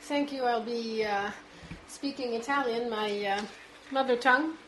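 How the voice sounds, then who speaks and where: A woman giving a simultaneous interpretation of a formal speech at a conference, halting, with constant "uh" fillers between words. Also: a little high, fairly bright tone, a little hiss or hum, low-quality recording.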